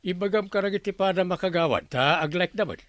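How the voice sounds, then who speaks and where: A man's voice: high-pitched, fast, a bit bright, no echo. A man with an Ilocano accent playing a chatty, scheming village captain in a radio comedy drama. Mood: puzzled, indignant.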